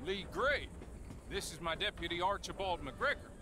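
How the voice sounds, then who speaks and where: An actor playing a gruff, suspicious small-town lawman in a western game. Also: high-pitched, moderate pace, music in the background, natural voice. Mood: guarded. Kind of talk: dramatic western game cutscene acting.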